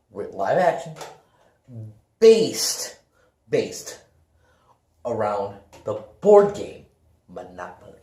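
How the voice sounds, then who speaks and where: A man co-hosting a podcast in casual chat, building up his points slowly and emphatically. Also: medium pitch, darkish tone. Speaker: podcast co-host. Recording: echoey room with faint noise and clicks.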